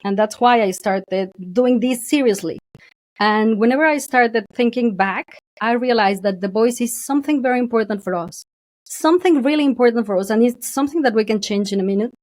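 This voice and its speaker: Higher-voiced woman, dry close sound. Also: a little fast, a little mellow, dry recording. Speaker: guest voice coach. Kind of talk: interview.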